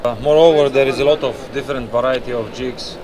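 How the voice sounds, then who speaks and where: A man's voice: mid-range voice, steady tone, quick pace, crowd noise. A man in his thirties hosting a walkaround review of a fishing expo, talking with many "uh"s and restarts, recorded on a chest-mounted camera in a large indoor exhibition hall. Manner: filler-heavy, frequent "uh" and restarts. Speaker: fishing channel host. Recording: noisy expo hall ambience. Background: hall ambience and air conditioning hum.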